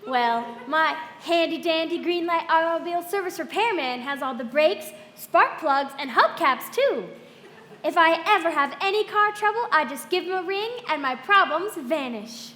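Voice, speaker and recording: A woman playing a perky, chummy pitchwoman in an old-time radio commercial, with a chirpy, salesy delivery. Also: lively intonation, normal speed, pushed and bright, heavily acted. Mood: chirpy, confiding. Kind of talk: 1940s radio advertisement acting. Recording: echoey hall, stage live, music behind.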